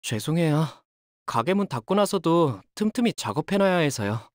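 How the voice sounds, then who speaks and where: A man's voice: on the high side, sing-song, moderate pace, no echo, studio quality. A male actor playing an earnest, modest young boat repairman in a video game, with a boyish, polite and slightly hesitant manner. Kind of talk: video game character voice lines.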